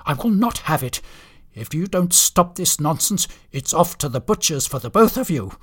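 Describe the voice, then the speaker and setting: Bossy storybook wife of Santa performed by a man: scolding, threatening, high and swooping. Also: very animated, measured pace, exaggerated voice. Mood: furious. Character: stern, bossy wife of Father Christmas.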